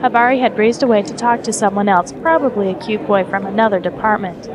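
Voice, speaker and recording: A woman's voice: medium pitch, fast, noisy background. A woman reading scripted story narration as the narrator of an audio drama.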